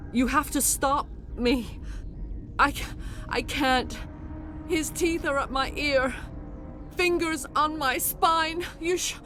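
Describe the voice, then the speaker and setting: A woman playing a regal, remorseful alien mother in a video game, speaking in solemn, measured, formal language. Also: sits high, fairly bright tone. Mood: anguished, struggling. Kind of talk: dramatic video game acting.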